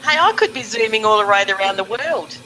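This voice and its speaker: An Australian woman thinking aloud on a video call, full of quick exclamations. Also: a little high, animated, pushed and bright, slight background noise, rough recording. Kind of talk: casual chat.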